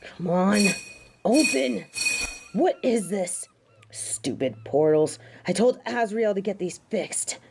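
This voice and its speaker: A woman acting a haughty, mellow-toned fallen angel. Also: mid-range voice, animated, slow, creaky, slight background noise. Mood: haughty, irritated. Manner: haughty, theatrical, disdainful. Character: haughty fallen female angel, indignant, snobbish tsundere. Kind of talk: dramatic audio roleplay acting.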